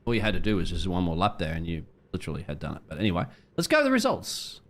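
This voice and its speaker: A man with a fairly low voice talks at a quick clip. He is a co-commentator on a sim racing show, casual and conversational in style. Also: huge pitch swings.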